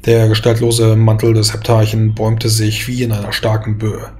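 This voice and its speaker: A man's voice: lowish voice, monotone, normal speed, warm tone, a little hiss or hum. A man reading a scripted, dramatic fantasy story as its narrator.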